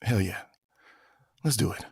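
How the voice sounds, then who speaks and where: A man's voice: on the low side, lively intonation, measured pace, breathy edge, no room sound. An actor playing a grieving, world-weary man in a horror audio drama, with a subdued, dryly wry delivery. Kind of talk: naturalistic dramatic dialogue.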